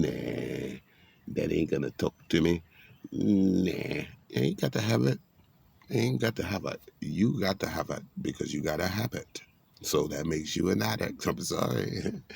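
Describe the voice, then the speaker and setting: A man with a very deep, gravelly voice delivers a rambling, preachy monologue. His tone is husky and rough around the edges, and he talks in a streetwise way. He keeps weaving biblical references into casual talk. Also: a little mellow. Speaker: vlogger giving a monologue.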